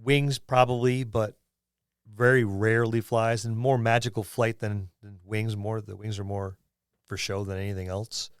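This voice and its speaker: A man co-hosting a podcast, chatting casually and haltingly about tabletop gaming, thinking aloud as he goes. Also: lowish voice, little pitch movement, clean tone, no room sound, studio quality. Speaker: podcast co-host.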